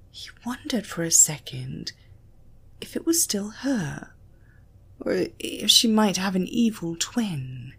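A British woman narrating an erotic story in a breathy voice that often drops toward a whisper. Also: on the low side. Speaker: audiobook narrator.

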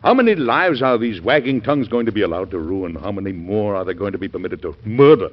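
An actor playing a forceful, grieving male president in a classic radio drama, speaking with impassioned command. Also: fairly low pitch, lively intonation, fast, breathy edge. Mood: indignant. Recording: dull vintage radio recording.

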